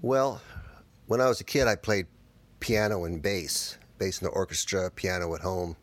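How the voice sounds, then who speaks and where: A man from the United States, a guitarist answering interview questions in a thoughtful, halting way. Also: low-pitched. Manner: thoughtful, halting, with fillers and restarts. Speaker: guest guitarist.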